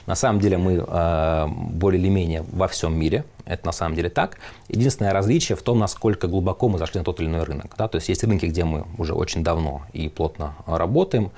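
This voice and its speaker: A man's voice: low-pitched, fast, creaky, hardly any pauses, warm tone. A man in his thirties giving conversational interview answers, explaining things point by point. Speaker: company representative interviewee. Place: merchandise showroom, standing.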